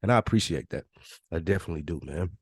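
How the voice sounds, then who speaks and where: Low-voiced American man hosting, close and dry. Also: animated, rapid-fire, husky, dry recording. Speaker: podcast host. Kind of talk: casual chat.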